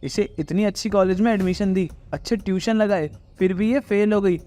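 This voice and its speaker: A man's voice: high-pitched, normal speed, mellow, lightly acted. A man playing a protective, fair-minded father who speaks earnestly and firmly in a moral story. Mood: reproachful. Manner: earnest, firm.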